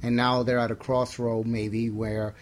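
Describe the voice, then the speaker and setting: A man's voice: medium pitch, flat delivery, unhurried, slight background noise. A man co-hosting a radio discussion, joining in conversationally.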